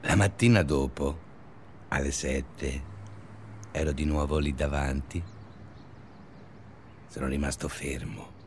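An actor playing an obsessive, bitter stalker confessing in a brooding, intimate radio drama monologue. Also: male voice, extremely low pitch, slight background noise. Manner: brooding, intimate narration with agitated surges. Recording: music under the voice. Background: music.

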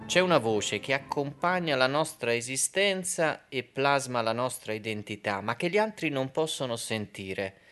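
A man hosting a radio programme, introducing it in a measured, explanatory way with hesitant pauses. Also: mid-range voice, expressive, fairly bright tone, faint music behind. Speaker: radio host.

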